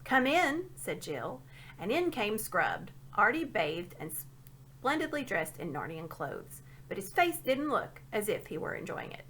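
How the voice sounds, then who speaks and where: A woman reading a storybook aloud as an expressive narrator. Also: medium pitch, unhurried, slight background noise. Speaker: storybook reader.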